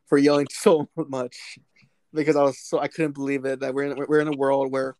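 A man co-hosting a podcast, talking excitedly about a film in a rambling way, with many restarts and fillers. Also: mid-range voice, moderate pace, dry recording, low-quality recording. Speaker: podcast co-host. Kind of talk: casual movie discussion.

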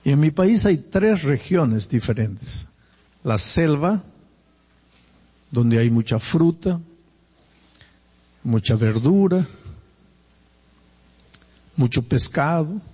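An older man from Peru with a Peruvian Spanish accent, preaching a sermon and telling personal stories, animated and swinging between loud emphasis and quiet asides. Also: medium pitch, vocal fry, husky, in a large hall.

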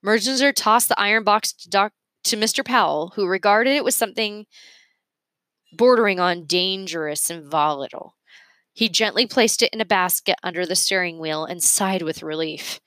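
A woman's voice: medium pitch, moderate pace, a bit bright, no room sound. A woman reading a children's story aloud as a narrator. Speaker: storybook reader.

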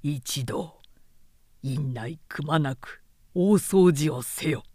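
A man's voice: fairly high pitch, extremely slow, mellow, dry recording, clean studio sound, pitched below the performer's own voice. A woman voicing a stern, loyal male general in a historical novel reading, with grave, archaic warrior speech. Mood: commanding.

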